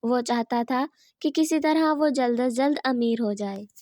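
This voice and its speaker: Dry-sounding female story narrator, higher voice. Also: a little slow, warm tone, no echo. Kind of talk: scripted children's story narration.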